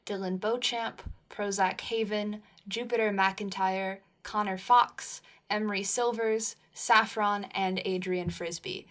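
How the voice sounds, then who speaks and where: A woman's voice: medium pitch, a bit bright. A woman reading a scripted thank-you announcement for a podcast.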